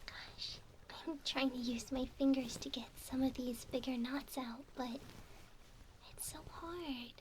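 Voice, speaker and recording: Casting: woman as a studious, caring mermaid for ASMR, high soft voice, measured and slightly formal, often whispered, very unhurried. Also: fairly even intonation, a little hiss or hum. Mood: tender, careful. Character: bookish, doting young female mermaid named Nemu.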